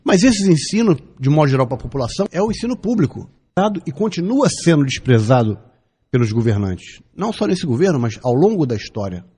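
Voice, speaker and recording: A man's voice: mid-range voice, big pitch swings, fairly quick, warm tone, husky. A man in his fifties from Brazil, giving an emphatic opinion commentary on the radio, driving his points home with repetition and rhetorical questions. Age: 50s. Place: radio studio.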